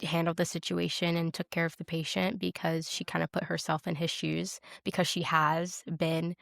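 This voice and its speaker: A woman's voice: lowish voice, little pitch movement, normal speed, creaky, fairly bright tone, dry recording. Woman podcast co-host, dry close sound. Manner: informal, peppered with "like". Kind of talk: casual chat about a TV show.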